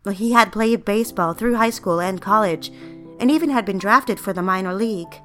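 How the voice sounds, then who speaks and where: A genteel young career woman from a mid-century period drama, acted by a woman. Her voice sits a little toward the middle-low, refined and demure, with measured phrasing and faint music behind it. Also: a bit bright, lightly acted. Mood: wistful, admiring. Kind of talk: period audio drama with first-person narration.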